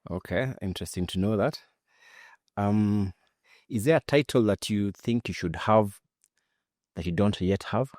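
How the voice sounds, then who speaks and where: A male interviewer with a low, mellow voice asks short, courteous questions. The sound is dry and close. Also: a little slow, pauses often, dry recording. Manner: brief, polite questions. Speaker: interviewer, podcast host.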